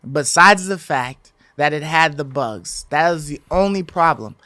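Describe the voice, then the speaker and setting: A man's voice: on the high side, unhurried, bright, forceful tone, no room sound. A man co-hosting a gaming podcast, talking in an informal, slangy way with some mild swearing. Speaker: podcast co-host. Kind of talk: casual gaming podcast chat.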